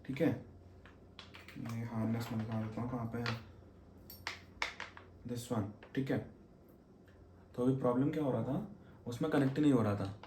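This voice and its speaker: A man's voice: mid-range voice, warm tone. A man with an Indian accent giving a casual, explanatory gear review as a vlogger, switching between Hindi and English mid-sentence. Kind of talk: casual unboxing and review talk. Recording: roomy echo, noticeable background noise.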